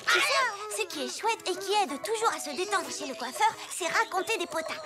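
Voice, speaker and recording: A woman's voice: very high-pitched, animated, fairly quick. A woman voicing a chatty, earnest little schoolgirl sidekick in a French children's cartoon. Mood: chatty, gossipy. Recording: music behind the voice.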